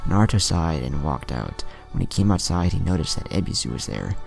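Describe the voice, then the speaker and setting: A man's voice: low-pitched, big pitch swings, fairly quick, vocal fry, darkish tone. A man narrating a scripted fan-fiction story, with music behind his voice. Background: music under the voice.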